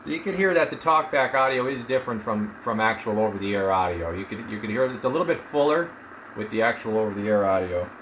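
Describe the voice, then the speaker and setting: A man with a fairly low voice presents gear in a casual demonstration. He explains things step by step as a technician, informally and with plenty of shop jargon. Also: animated, fast, fairly bright tone, a little hiss or hum, low-quality recording. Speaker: radio technician presenting a radio for sale.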